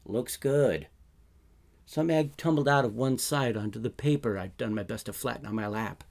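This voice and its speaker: A man reading his own short fiction as a first-person narrator, in a conversational, colloquial way with expressive emphasis. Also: mid-range voice, fairly quick, vocal fry.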